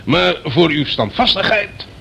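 A man's voice: fairly high pitch, very animated, measured pace, pushed and bright, heavily acted. An actor playing a pompous, blustering old retired general in a radio play, repeating himself as he reminisces. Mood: hearty, admiring. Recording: creaky radio drama recording with background hiss.

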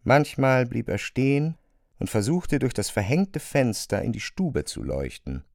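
A man reads literary narration for a radio play. His mid-pitched voice is measured and articulate but moves in lively contours. The sound is very dry. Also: creaky, dry recording.

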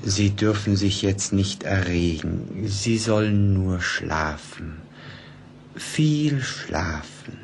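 Grave sober man in a radio play, really deep voice, measured, bit of noise on the recording. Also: vocal fry, exaggerated voice. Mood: sombre, soothing. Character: grave man soberly reporting a mysterious death to a poet. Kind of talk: German radio play acting.

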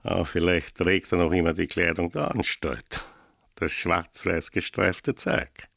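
An actor playing a pedantic village police sergeant in a radio play, gravelly, deliberate and dryly mocking. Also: male voice, low voice, fairly even intonation, fairly bright tone, no room sound, clean studio sound, exaggerated voice.

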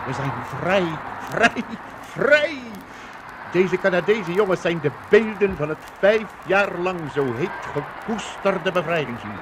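An actor playing a fervent Dutch witness to liberation, speaking gravely and deliberately in a 1950s radio docudrama. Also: male voice, sits high, sing-song, background noise, heavily acted. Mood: grave, stirred.

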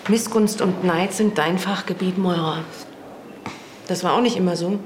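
An actress playing a blunt, reproachful sister, a surgeon who lectures bluntly in a radio play. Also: female voice, on the low side, moderate pace, background noise, natural voice. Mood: recounting. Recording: roomy, with music.

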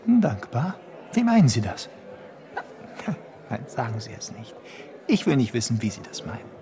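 An actor playing a capricious young gentleman with an impulsive, abrupt manner in a radio drama. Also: mid-range voice, big pitch swings, normal speed, lightly acted. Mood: irritated, restless. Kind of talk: German radio drama acting.

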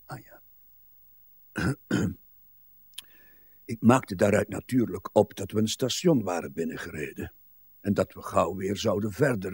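An actor playing a weary, self-absorbed old husband in a literary radio drama, telling things in a dour, hesitant way with a husky voice. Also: male voice, low-pitched, a little mellow, no room sound, exaggerated voice. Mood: dour, musing. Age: older. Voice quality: husky, breathy. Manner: dour, deliberate storytelling with hesitations.

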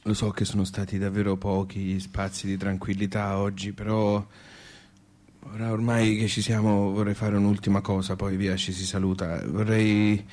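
Weary, stubborn Tuscan husband. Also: male voice, on the low side, mellow. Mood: tired, wistful. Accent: Tuscan Italian. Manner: colloquial, halting, repetitive. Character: weary, put-upon married man, stubborn and plaintive. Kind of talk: theatrical radio drama dialogue.

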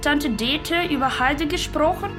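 A woman's voice: high-pitched, normal speed, fairly bright tone, soft background music. A woman who speaks German as a second language, retelling a story conversationally and searching for words as she goes. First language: not German.

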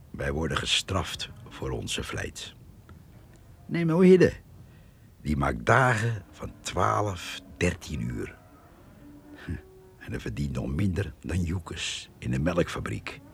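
A man's voice: low-pitched, sing-song, takes it slowly, vocal fry, pauses often, a bit bright, soft background music, exaggerated voice. An actor playing a plain-spoken, grumbling rural working man in a radio drama, muttering folksy sayings. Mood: gloomy, grumbling.

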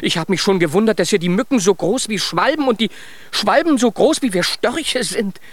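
An actor in a children's radio play voicing a proud but dreamy, scatterbrained stork with a good-natured, musing manner. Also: male voice, high-pitched, expressive, moderate pace, dry recording. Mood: musing, sheepish.

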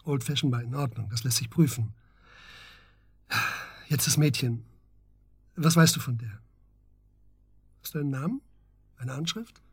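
Radio drama stern, methodical male investigator: husky, clipped, insistent, very dry studio sound. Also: medium pitch, lively intonation, a little slow, long pauses, no echo, heavily acted. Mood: insistent, businesslike. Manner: matter-of-fact, insistent, clipped questioning. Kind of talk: naturalistic German radio drama acting.

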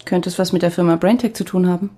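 A woman's voice: lowish voice, steady tone, fast talker, warm tone, natural voice. An actress playing a sober, direct female journalist who questions someone in a radio crime drama. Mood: probing, sober. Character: probing, matter-of-fact female journalist interviewing a victim.

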